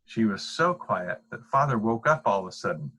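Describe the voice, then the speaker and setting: An older man with a husky voice reading a children's bedtime story aloud. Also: lowish voice, expressive, moderate pace, bright, forceful tone. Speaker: storybook reader.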